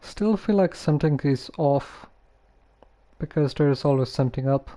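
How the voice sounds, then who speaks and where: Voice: mid-range voice, normal speed, warm tone. A game streamer giving casual live commentary in a musing, hesitant manner.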